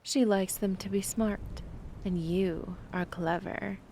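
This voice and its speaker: A woman performs an ancient vampire kidnapper for an ASMR audio drama. She speaks very slowly, toying with the listener through sweet endearments and theatrical condescension, seductive and threatening, often sinking to a breathy murmur. A faint rumbling noise sits behind her. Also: steady tone, warm tone. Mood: coolly condescending. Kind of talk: ASMR roleplay acting.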